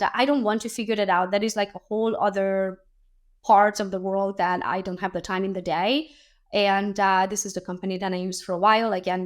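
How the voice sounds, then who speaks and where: A woman with a worn, hoarse voice that is fading on her, teaching a class about social media. She talks in a practical, casual way, often checking in with her listeners. Her voice sits at an average pitch. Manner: practical, chatty, asks "right?" often. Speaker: social media instructor and show host.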